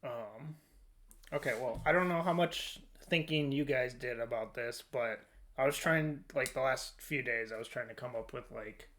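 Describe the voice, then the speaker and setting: A man's voice: mid-range voice, moderate pace, fairly bright tone. A man hosting a casual discussion, informal and thinking aloud as he goes. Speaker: podcast host. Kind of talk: casual discussion of films and shows.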